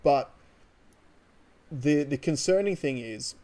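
A man's voice: on the high side, lively intonation, takes it slowly, mellow. An Australian man co-hosting a podcast, talking casually and emphatically through his opinions on current affairs. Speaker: podcast co-host. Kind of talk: casual opinionated political discussion.

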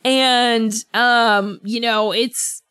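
A woman's voice: on the high side, steady tone, extremely slow, a bit bright, clear, resonant tone, no echo, studio quality. A woman co-hosting a podcast, chatting casually and in a rambling way about books, often trailing off. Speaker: podcast co-host. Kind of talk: casual book club discussion.

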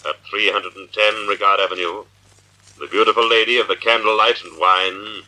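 Casting: mysterious radio avenger, taunting and commanding, low voice with hard, forceful edge, vintage recording. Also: male voice, fairly even intonation, moderate pace, poor audio. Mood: eerie, taunting. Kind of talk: 1940s radio drama acting. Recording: old radio drama recording.

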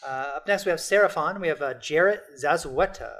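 A man co-hosting a casual gaming podcast, excitable and full of fillers. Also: on the high side. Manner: fast, excitable, many fillers. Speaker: podcast co-host. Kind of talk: casual chat about tabletop gaming.

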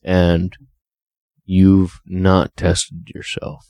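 Man, podcast co-host, deep dark gravelly voice, slow pace, dry close mic. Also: monotone, no room sound. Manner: slow, halting, profane, many fillers. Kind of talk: casual podcast chat.

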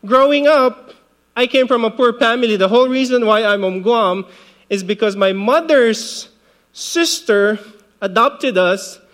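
A man in his forties, from the Philippines, preaches in a very high voice for a man. He talks to the congregation conversationally, mixing scripture with personal asides and easy laughter. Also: unhurried, fairly bright tone. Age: 40s. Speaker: pastor. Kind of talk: sermon.

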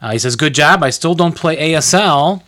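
A man's voice: fairly high pitch, a little fast, sharp tone. A man co-hosting a casual podcast, easygoing, reading letters and dropping teasing quips. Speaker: podcast co-host.

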